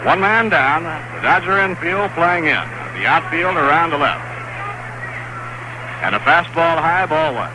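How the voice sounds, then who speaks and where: A man calling a live baseball game on radio, describing each pitch in a steady measured way that lifts on big plays. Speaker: baseball play-by-play announcer. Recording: noisy vintage AM radio broadcast.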